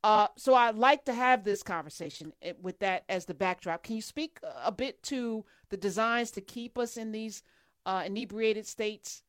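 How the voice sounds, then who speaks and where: A woman's voice: medium pitch, moderate pace, clean tone, no room sound. Earnest woman host, dry close sound. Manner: earnest, emphatic, builds points in lists. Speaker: talk show co-host. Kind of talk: conversational discussion.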